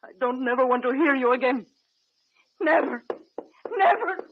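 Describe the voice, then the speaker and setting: A distraught, accusing radio drama character. The voice is very high and anguished. The old recording sounds muffled. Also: female voice, animated, normal speed. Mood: anguished, crying. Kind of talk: 1940s radio drama acting.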